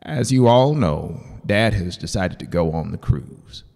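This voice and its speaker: A man voicing a folksy, emotional uncle in an audiobook, making a halting, heartfelt speech to a party. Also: deep voice, lively intonation, creaky, a little mellow, big-room sound, exaggerated voice. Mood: solemn. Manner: halting, heartfelt public address. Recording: music playing behind the voice.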